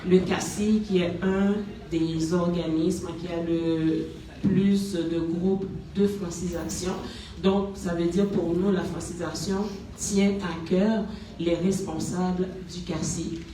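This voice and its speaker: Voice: mid-range voice, fairly even intonation, slow, dark tone, slight background noise. An interviewer poses long, carefully framed questions in a formal but friendly way. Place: community centre hall. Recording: echoey room, voice from a distance.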